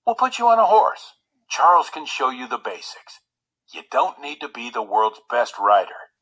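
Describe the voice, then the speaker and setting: A man's voice: mid-range voice, big pitch swings, normal speed, a bit bright, rough recording. A man voicing an older royal valet in a storybook, loyal and frank, advising his master. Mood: blunt, urging. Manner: formal and stiff, turning blunt and casual.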